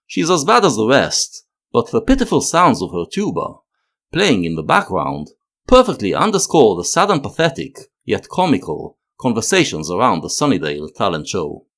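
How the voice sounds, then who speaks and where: A man narrating a scripted analytical video essay in a measured, expressive way. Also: fairly low pitch.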